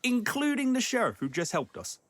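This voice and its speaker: A man's voice: very high voice, huge pitch swings, a little fast, fairly bright tone, no echo, heavily acted. A man playing a principled, anxious young companion in a comedy audio drama. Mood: objecting.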